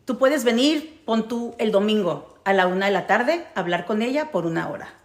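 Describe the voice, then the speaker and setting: A woman's voice: medium pitch, expressive, normal speed, echoey room. A woman with a Mexican Spanish accent tells a personal story casually to her followers, emphatically repeating phrases and checking in with her listeners. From United States, grew up in New Jersey. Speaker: storytelling vlogger.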